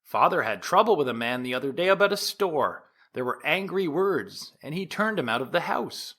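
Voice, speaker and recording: Man quoting an anxious, animated Victorian woman. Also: fairly high pitch, moderate pace. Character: anxious, foreboding young woman of the 1890s, quoted. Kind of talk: dramatised quotation within a courtroom speech.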